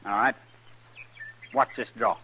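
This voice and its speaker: An actor with an American accent playing a stubborn, defiant young gunslinger in a 1950s radio western, terse and folksy. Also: male voice, mid-range voice, steady tone, slow, heavy background noise, lightly acted. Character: brash, stubborn young male gunslinger seeking revenge. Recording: muffled old radio recording.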